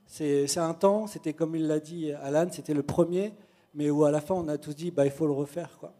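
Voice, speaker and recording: Mellow man giving a relaxed testimony. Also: a little high, a little fast. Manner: relaxed, conversational, frequent fillers. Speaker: youth leader. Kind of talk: church testimony.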